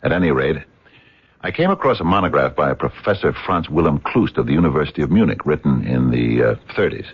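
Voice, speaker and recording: An actor playing an earnest, courteous American physicist in a radio mystery, speaking in a hesitant, wordy, stammering way. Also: male voice, rumbling low, lively intonation, a little fast, slightly rough, heavily acted. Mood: fussy, eager. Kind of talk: radio drama acting. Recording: dull, muffled radio drama recording.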